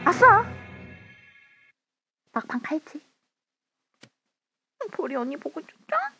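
A woman streaming a social deduction game, playful and excitable, laughing often. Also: high voice, slow pace, over music. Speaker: streamer hosting a multiplayer game. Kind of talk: casual game chat with viewers and players.

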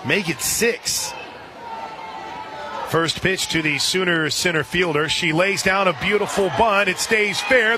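Higher-pitched male play-by-play announcer, crowd behind. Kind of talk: live softball play-by-play commentary. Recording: outdoor stadium crowd noise behind. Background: ballpark crowd and outdoor ambience.

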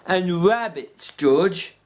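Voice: medium pitch, animated, extremely slow, voice pushed up. A reader doing a childlike, pleading big man. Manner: eager, pleading, repeats himself. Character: big simple-minded man, eager and childlike.

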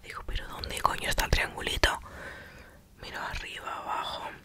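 A woman with an accent from Spain whispers a casual ASMR storytime in a breathy, unvoiced voice, retelling it colloquially and acting out the dialogue. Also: moderate pace, sharp tone.

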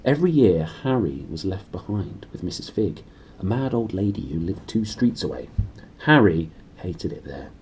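Male British storybook reader, lowish mellow voice, animated delivery. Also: normal speed, a little hiss or hum, poor audio. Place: small room indoors.

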